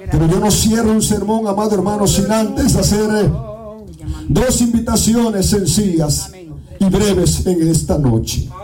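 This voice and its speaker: Guatemalan male preacher, high and impassioned, distant mic, music behind. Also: measured pace, a crowd in the background. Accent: Guatemalan Spanish. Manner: fervent, often shouting and half-singing his phrases. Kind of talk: evangelical sermon. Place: church.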